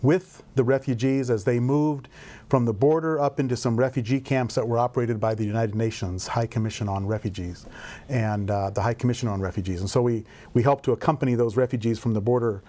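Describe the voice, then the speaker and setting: A middle-aged man talks through his background in an easy, unhurried interview. His voice sits at an ordinary middle pitch. He pauses often and fills the gaps with "uh" and "um". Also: fairly even intonation, mellow. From grew up in Indonesia and Indiana. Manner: thoughtful, rambling with fillers. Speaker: volunteer program director. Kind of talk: conversational interview.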